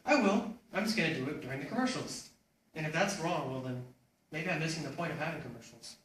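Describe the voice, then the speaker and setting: A man's voice: fairly high pitch, expressive, fast, fairly bright tone. A man playing a clueless, self-absorbed father in a comic readers theater piece. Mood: sheepish, defensive. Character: goofy, clueless, self-absorbed suburban dad. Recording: very echoey room, distant mic, faint noise.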